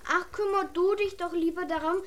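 A female performer playing a feisty, sharp-tongued seven-year-old girl in a children's radio drama, cheeky and impatient. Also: very high-pitched, steady tone, brisk. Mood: defiant.

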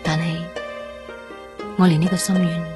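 A woman plays a lovelorn young Hong Kong woman confiding her thoughts in a radio drama. Her voice sits a little low, wistful and measured, over clear background music. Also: level tone, lightly acted. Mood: sad, wistful.

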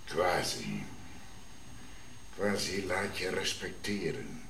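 An actor playing a grave, commanding Ashanti king and father in a radio drama, measured and authoritative. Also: low voice, very animated, vocal fry, a bit bright, heavily acted. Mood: sad, grave. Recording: roomy sound with faint noise.

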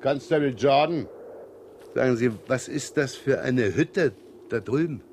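Brusque police inspector, wry grumbler, mid-pitched, 1970s radio drama, faintly noisy recording. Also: male voice, expressive, a little slow, darkish tone. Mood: dry, irritated. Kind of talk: 1970s German radio crime drama acting.

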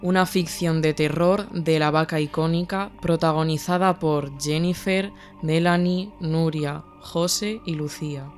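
Higher-pitched man narrating horror fiction. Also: steady tone, a little slow, hardly any pauses, faint music behind. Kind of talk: scripted horror story narration.

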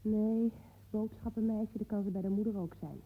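A woman's voice: mid-range voice, steady tone, normal speed, slightly rough. A woman playing a bossy, scornful mother in a radio play, nagging sarcastically in colloquial speech. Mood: indignant nagging. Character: bossy, nagging, scornful mother waiting at her daughter's school. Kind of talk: naturalistic radio play dialogue. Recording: dull, muffled old radio play recording.

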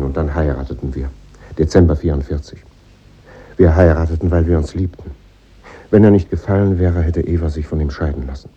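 An actor playing a brooding, embittered composer in a 1950s German radio drama, with a dark, husky voice and terse delivery. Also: male voice, low-pitched. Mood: melancholy. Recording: muffled old radio recording.

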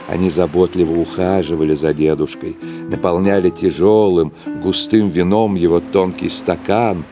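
An actor narrating a classic literary tale in an audio drama in a measured, lyrical manner. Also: male voice, low-pitched. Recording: guitar music behind narration.

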